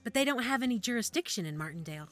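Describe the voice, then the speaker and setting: Over faint music, a woman's fairly high voice moves with lively intonation. An actress plays a helpful, cautious medical examiner in an audio drama, conversational and slightly hesitant. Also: very fast, natural voice. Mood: careful, sober. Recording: music under the dialogue.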